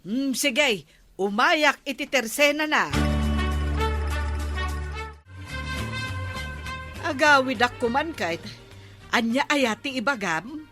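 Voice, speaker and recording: A woman's voice: high-pitched, animated, a little slow, over music. A woman playing a village captain's generous, proud wife in an Ilocano radio comedy drama, with an Ilocano Filipino accent. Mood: obliging.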